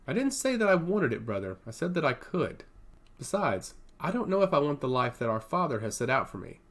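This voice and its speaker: A man acts out a poised young princess in a fantasy audio story. The speech is formal, polite and earnest, with a lively rise and fall in pitch. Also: a little fast, exaggerated voice. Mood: earnest, torn.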